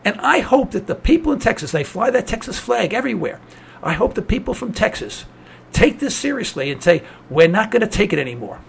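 A man's voice: high voice, very animated, brisk, gravelly, slight background noise. A man with a New York accent hosting a talk program, delivering a cynical political rant. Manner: cynical, ranting. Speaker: radio talk host.

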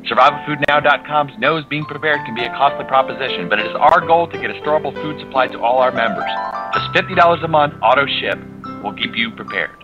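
Fast-talking male ad announcer with guitar. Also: dull audio. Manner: earnest sales pitch. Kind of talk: scripted commercial voice-over. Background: guitar music.

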